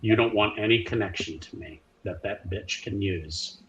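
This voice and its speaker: Man playing a wary, low-voiced rogue. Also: normal speed, lo-fi sound, soft background music, close to an everyday voice. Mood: bitter, protective. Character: wary, bitter, loyal male rogue.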